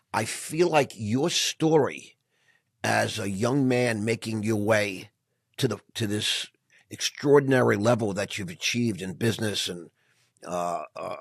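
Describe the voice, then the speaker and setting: Middle-aged male host with a rough, husky edge and a lowish voice, nervy and lively in conversation. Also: no room sound, clean studio sound. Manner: animated, self-described anxious and edgy talker. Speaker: podcast host. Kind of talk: casual interview chat.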